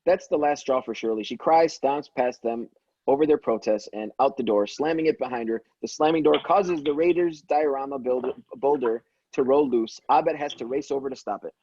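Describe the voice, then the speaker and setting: A man's voice: mid-range voice, normal speed, warm tone, slightly rough, no room sound. A man reading stage directions as the narrator of a scripted table read. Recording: dull, slightly lo-fi sound.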